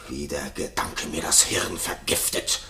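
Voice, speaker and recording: Jealous tormented king,, classical radio drama, halting theatrical delivery, slow, music behind. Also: extremely low pitch, steady tone, vocal fry, sharp tone, whispered, heavily acted. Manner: theatrical, halting, brooding monologue. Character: brooding, jealous king, tormented husband and father. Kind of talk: classical German radio play acting.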